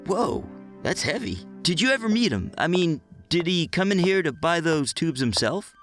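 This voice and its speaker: A man's voice: a little high, very animated, moderate pace, fairly bright tone, soft background music. A man playing a nervy, stubborn teenage boy in a motion comic, hesitant and easily incredulous.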